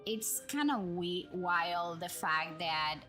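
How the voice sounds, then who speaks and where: A woman's voice: fairly low pitch, slow, bright, forceful tone. A woman live streamer chatting casually and thinking aloud while she plays a game. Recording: music playing behind the voice. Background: background music.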